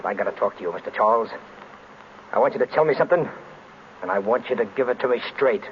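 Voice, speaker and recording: A man's voice: medium pitch, animated, quick pace, lightly acted. Man playing a jittery, tough old-radio gangster. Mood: menacing, urgent. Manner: slangy, clipped hoodlum talk. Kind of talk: 1930s radio drama acting. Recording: noisy muffled vintage radio recording.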